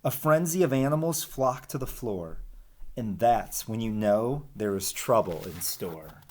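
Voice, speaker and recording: A man's voice: on the low side, a little slow. A man teaching young children, reading a picture book expressively and chatting informally with his listeners. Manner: expressive rhyming delivery, chatty asides addressing children. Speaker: elementary school teacher reading aloud.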